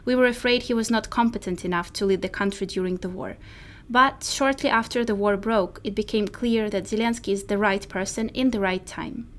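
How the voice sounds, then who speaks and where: A woman's voice: medium pitch, fairly quick, a bit bright. A woman from Ukraine co-hosting a podcast, speaking in a scripted narration style. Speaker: podcast co-host.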